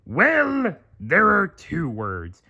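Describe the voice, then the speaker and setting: A man's voice: a little high, wildly swooping pitch, very slow, vocal fry, bright, forceful tone, slightly rough, muffled recording, voice pushed up, heavily acted. A cheap, greedy cartoon crab boss, played by a man reading a storybook. He speaks in a raised, exaggerated voice and delivers bad news bluntly. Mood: blunt, stingy.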